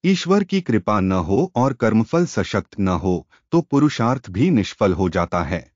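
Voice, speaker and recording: A man's voice: on the low side, very animated, unhurried, darkish tone, no echo, highs cut off. A man voicing a humble, devout young princess with a modest, earnest, formal manner.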